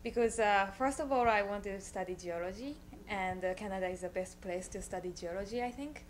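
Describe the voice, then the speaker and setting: A woman from Japan, an international student answering interview questions in careful, halting phrases. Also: mid-range voice, a little hiss or hum.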